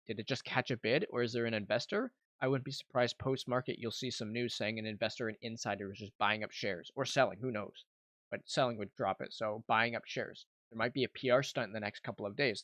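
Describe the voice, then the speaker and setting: Young guy streaming, casual running commentary, medium pitch, close dry sound. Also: dry recording. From Canada. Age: young adult. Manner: informal, thinking aloud. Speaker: livestream host, amateur day trader. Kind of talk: casual live trading commentary.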